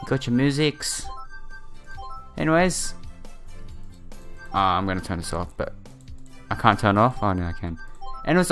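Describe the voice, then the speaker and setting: A man's voice: medium pitch, a bit bright. Casual man commentating over game music. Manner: informal, addresses viewers directly. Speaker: let's play host. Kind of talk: casual video game playthrough commentary, reading story text aloud. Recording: music playing behind the voice.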